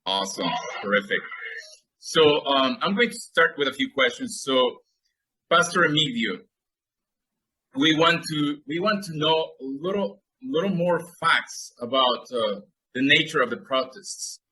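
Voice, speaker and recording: A man with a bright, forceful voice hosting an interview. He sounds upbeat and stops often for fillers. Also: a little high, lively intonation, unhurried, low-quality recording. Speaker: host.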